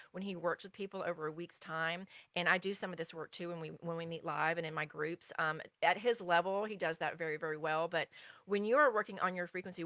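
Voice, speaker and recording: Middle-aged woman coaching on a livestream, bright voice, kinda low, earnest and rambly, dry close sound. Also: no room sound. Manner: earnest, meandering, pauses to answer chat. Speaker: spiritual relationship coach. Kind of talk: live-stream teaching with viewer comments.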